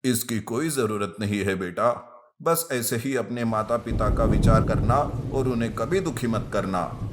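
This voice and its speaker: A man voicing a kindly, reassuring holy hermit with a measured, fatherly manner in a moral-story cartoon. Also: fairly low pitch, very noisy, lightly acted.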